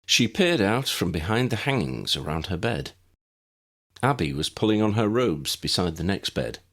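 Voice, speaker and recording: A man's voice: low voice, sing-song, normal speed, fairly bright tone. A man narrating an audiobook story in a scripted storytelling style.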